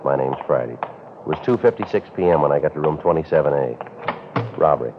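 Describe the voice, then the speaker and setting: Very deep deadpan radio police detective. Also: male voice, fairly quick. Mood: terse, flat. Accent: American. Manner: deadpan, clipped, matter-of-fact. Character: terse, businesslike male police detective sergeant narrating his case. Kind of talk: 1950s radio police drama acting, clipped narration. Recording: noisy, muffled old radio recording.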